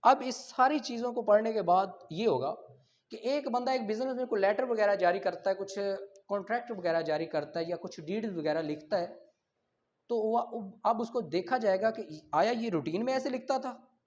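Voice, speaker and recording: Male lecturer, Pakistani accent, high-pitched voice, explains step by step and repeats key terms. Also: moderate pace, darkish tone, highs cut off. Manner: checks listeners' understanding, repeats key terms. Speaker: law teacher. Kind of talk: classroom lecture, reading out and explaining.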